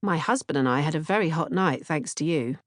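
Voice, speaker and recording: A woman's voice: deep voice, lively intonation, a little fast, no room sound, studio quality. A woman narrating an audiobook from a script. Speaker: author-narrator reading audiobook, podcast co-host.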